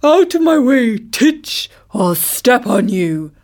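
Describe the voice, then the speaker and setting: A huge storybook elephant, acted out by a woman, loud and blustering. The delivery is slow and heavy, full of scornful bullying, with a trumpeting push. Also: mid-range voice, expressive. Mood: blustering, threatening.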